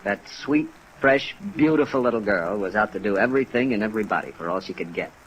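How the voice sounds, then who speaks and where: Man acting bitter, low-voiced jilted American doctor. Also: animated, quick pace, dull audio, natural voice. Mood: bitter, wounded. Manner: brooding, emphatic. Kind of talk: 1950s radio drama acting. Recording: old radio recording with rain noise.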